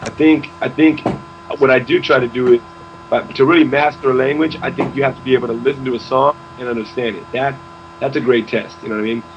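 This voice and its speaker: A man's voice: mid-range voice, steady tone, fast, a little hiss or hum. Stammering young man, dull lo-fi sound. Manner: stammering restarts, says "you know" often. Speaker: interview guest, rapper. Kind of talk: casual interview talk.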